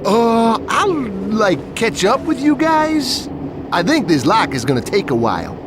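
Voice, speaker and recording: High, goofy surfer dude. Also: male voice, huge pitch swings, a little slow, background noise, exaggerated voice. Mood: cocky. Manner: slangy slacker drawl, dim. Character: goofy, laid-back young male surfer dude, cowardly bragger. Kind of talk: video game cutscene voice acting.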